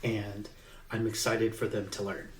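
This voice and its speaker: A man, an author, reading his own novel aloud in an unhurried way, pausing with fillers as he chats and leaning into the tense passages. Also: fairly low pitch, level tone, a little hiss or hum. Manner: unhurried, with halting fillers in the chat and livelier emphasis in the reading. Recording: echoey room, mic at a distance.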